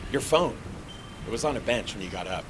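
An actor playing a familiar, talkative stranger in an audio drama, casual but insistent. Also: male voice, fairly low pitch, lively intonation, brisk, natural voice. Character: talkative, familiar male stranger claiming to be a neighbor. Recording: faint hiss and rain noise.

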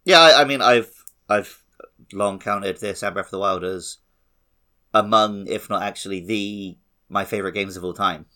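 A man's voice: fairly low pitch, normal speed, bright, forceful tone. A man hosting a podcast, talking casually about video games in a conversational way. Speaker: podcast host. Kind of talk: casual gaming podcast chat.

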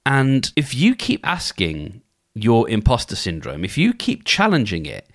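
Lively, conversational male podcast host. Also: medium pitch, unhurried. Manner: conversational, thinks aloud, acts out inner dialogues; frequent restarts and filler phrases. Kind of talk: solo advice podcast monologue.

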